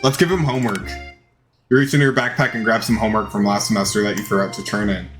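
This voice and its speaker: A man's voice: on the low side, fairly even intonation, fast talker, fairly bright tone, faint music behind. A man reading game text aloud as a dramatized narration during a playthrough.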